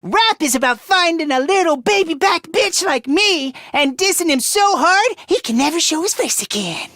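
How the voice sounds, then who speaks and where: High-pitched, jittery, self-loathing young student. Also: male voice, lively intonation, moderate pace, pushed and bright, dry recording, clean studio sound, heavily acted. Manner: stammering, whiny, self-deprecating. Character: timid, self-loathing, anxious young male academy student. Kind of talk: anime video game voice acting.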